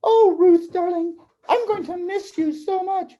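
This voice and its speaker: A woman playing a high-voiced fretful older wife. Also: measured pace, warm tone, lo-fi sound. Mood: sad, tender. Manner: hesitant, sighing, repeating words. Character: Naomi, fretful, kindly older Israelite wife and mother. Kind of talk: children's puppet show dialogue.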